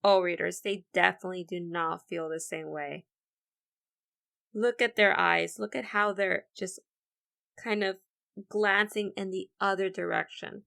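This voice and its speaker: A woman teacher guides children through an interactive read-aloud. Her voice is bright with a creaky fry, and she explains things encouragingly, speaking straight to her listeners. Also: on the low side, fairly even intonation, unhurried.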